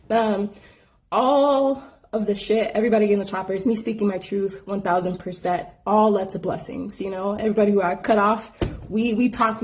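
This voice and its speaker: A woman hosting her own show, casually telling a personal story in slangy speech full of "like". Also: mid-range voice. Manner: slangy, frequent "like" fillers, animated. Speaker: host.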